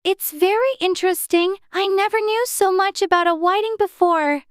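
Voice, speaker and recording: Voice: extremely high pitch, moderate pace, fairly bright tone, no room sound, clean studio sound, pitched above the performer's own voice. A narrator voicing a polite, curious and slightly timid young girl in a storybook reading. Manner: prim, wondering.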